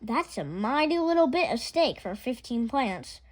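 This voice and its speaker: Casting: woman to play storybook housemaid, folksy and sure of herself, fairly high, animated pitch. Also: a little slow. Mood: busy, cheerful. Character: Amelia Bedelia, cheerful, literal-minded housemaid, adult woman. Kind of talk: children's storybook character voice.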